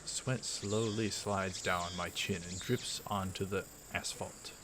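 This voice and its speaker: A man hosting a let's play, reading a visual novel aloud with casual asides in a halting, measured way. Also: low voice, fairly even intonation, background noise.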